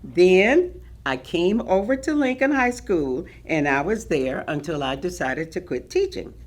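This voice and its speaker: A woman's voice: on the low side, big pitch swings, unhurried. A woman playing a dignified retired schoolteacher grandmother in a staged reading, recounting her career in a composed, precise way. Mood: dignified, proud. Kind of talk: dramatic staged reading of oral history.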